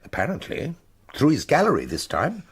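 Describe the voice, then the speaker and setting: An actor playing a dry, understated and evasive painter in a radio drama. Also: male voice, on the high side, huge pitch swings, normal speed, slightly rough, exaggerated voice. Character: wry, evasive painter caught in a forgery scam. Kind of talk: British radio drama acting with narration.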